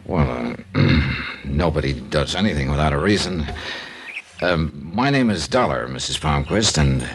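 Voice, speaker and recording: An actor playing a wry, hard-boiled insurance investigator in a 1950s radio drama, dry and wisecracking. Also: male voice, rumbling low, expressive, unhurried, a little hiss or hum, full character voice. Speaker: lead and narrator. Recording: old radio broadcast with music.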